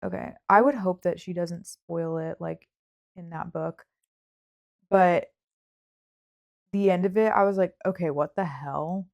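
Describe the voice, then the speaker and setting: A woman's voice: on the low side, steady tone, a little slow, vocal fry, pauses often, dull audio. A woman podcast host in a casual book chat, talking informally with hedges and lots of "like" sprinkled through. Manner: informal, hedging, frequent "like".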